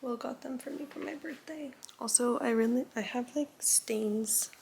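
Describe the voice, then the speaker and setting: A young woman chatting casually to camera in her vlog, a little raspy from a cold and saying "like" a lot. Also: on the high side, moderate pace, darkish tone, a little hiss or hum. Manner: chatty, says "like" often. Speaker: lifestyle vlogger.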